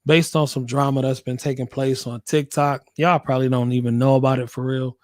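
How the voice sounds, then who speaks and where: An American man hosting a casual livestream, laid-back and slangy, repeating his phrases, with a voice hoarse from a cold he is getting over. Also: medium pitch, little pitch movement, fairly quick, no echo. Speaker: livestream host, working nurse.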